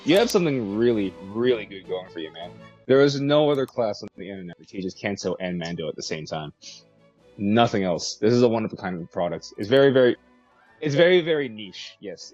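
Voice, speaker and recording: A young American man talks quickly in a relaxed, easygoing way, laughing now and then. His voice sits a little low. The audio is dull and lo-fi, with faint music underneath. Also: no room sound. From United States. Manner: laid-back, chuckles often, hedges with "like". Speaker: language program student. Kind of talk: casual interview.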